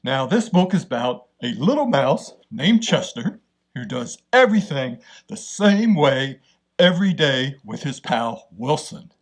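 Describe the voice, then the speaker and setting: A man's voice: mid-range voice, big pitch swings, a little slow. A man playing a friendly bear character who hosts a children's storytime, welcoming young listeners. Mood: cheerful. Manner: welcoming, addresses children directly. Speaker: host. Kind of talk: scripted children's show introduction.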